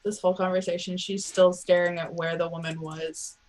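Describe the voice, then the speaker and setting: A woman's voice: fairly low pitch, flat delivery, fairly quick, a little mellow, rough recording. A woman playing a tabletop role-playing game, chatting casually and playfully about her character. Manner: playful, describes her character in third person. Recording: faint background noise.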